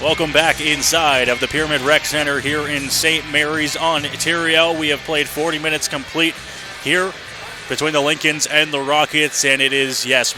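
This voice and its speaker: A man doing live radio commentary as a hockey play-by-play announcer. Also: a little high. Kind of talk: live sports radio commentary, between-periods recap. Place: indoor ice hockey arena.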